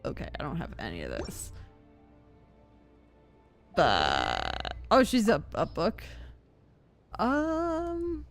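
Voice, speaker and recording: Woman, casual livestream chat, expressive swooping pitch, slowish pace, thinking aloud, soft game music. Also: vocal fry. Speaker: game streamer.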